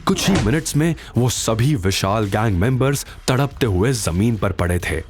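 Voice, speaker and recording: Animated male dramatic story narrator. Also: lowish voice, normal speed, background noise. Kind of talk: dramatic scripted Hindi audio story narration. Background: faint background music.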